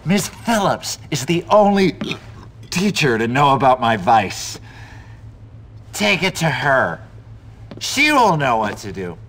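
A man's voice: mid-range voice, big pitch swings, slow pace, pauses often, fairly bright tone, slight background noise, exaggerated voice. A man playing a washed-up, tipsy English teacher in his thirties in a video game, theatrical and self-pitying. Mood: drunken self-pity. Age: 30s.

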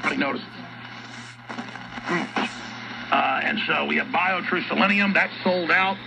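High creaky man on noisy shortwave radio. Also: measured pace. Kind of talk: radio broadcast talk.

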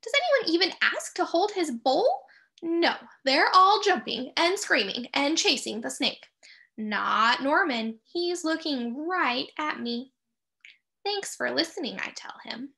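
High, animated woman reading to children. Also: creaky. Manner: expressive, sing-song, with drawn-out key phrases and dramatic gasps. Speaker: teacher reading a picture book aloud. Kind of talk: children's read-aloud with questions to young listeners.

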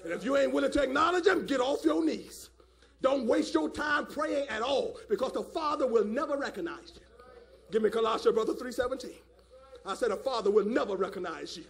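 A man a fiery call-and-response sermon, emphatic and repetitive, often rising to a shout, with a gravelly voice. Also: extremely high pitch, a little fast. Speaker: preacher.

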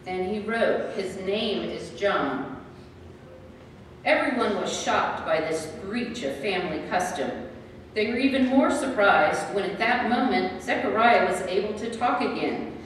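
A woman's voice: medium pitch, expressive, measured pace. A woman reading scripted scripture narration as the narrator of a church drama. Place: large church sanctuary. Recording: echoey church from far off, faint noise.

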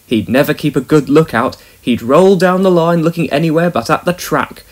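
A man voicing a wise older male mountain engine who talks in a measured, gently rueful way. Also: fairly high pitch, natural voice. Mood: reflective.

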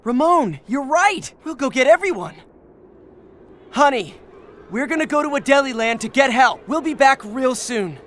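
An eager, high-voiced young penguin dad. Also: male voice, lively intonation, normal speed, fairly bright tone. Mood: determined. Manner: eager, earnest. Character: earnest, upbeat young penguin father. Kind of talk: animated video game voice acting. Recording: music behind the voice.

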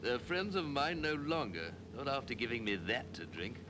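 Lively, petulant English toff, played by an actor. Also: male voice, normal speed, close to an everyday voice. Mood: petulant, languid. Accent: upper-class English. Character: languid, petulant upper-class man, thirsty drinker. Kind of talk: 1940s British film acting. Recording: noisy old film soundtrack, vehicle rumble.

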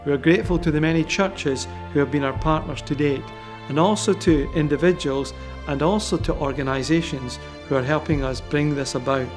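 A man reading a measured, informative scripted voice-over. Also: on the high side. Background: music.

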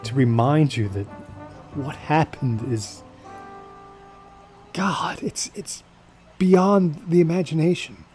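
An actor playing an obsessed, brooding man in a naturalistic audio drama, with a hesitant, halting delivery. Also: on the high side, darkish tone, soft background music. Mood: awed, halting. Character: obsessed, brooding man seeking supernatural truth.

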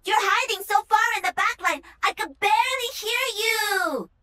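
A high, bright and very lively young woman, cocky and playful like a star gamer in a video game. She teases and brags with cheek. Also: moderate pace, exaggerated voice. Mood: teasing. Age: young adult. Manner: cheeky, bragging, teasing.

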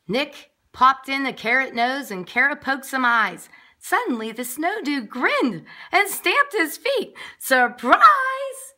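Female early-years teacher reading a story to little ones: bright, slow, expressive. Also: sits high. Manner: expressive, with asides to children. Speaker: nursery school teacher. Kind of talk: picture-book read-aloud for young children. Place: classroom, indoors.

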